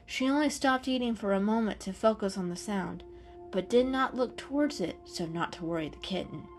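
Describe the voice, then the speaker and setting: A woman's voice: medium pitch, expressive, normal speed. A woman narrator giving a scripted, dramatic reading of an animal story. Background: music.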